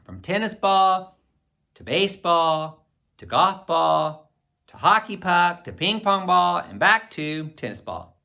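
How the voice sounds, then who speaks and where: A man narrates a children's audiobook with boyish expression. His voice is bright and forward, moving freely up and down as he tells the story in the first person. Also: high-pitched, animated, slow pace, vocal fry. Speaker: audiobook reader.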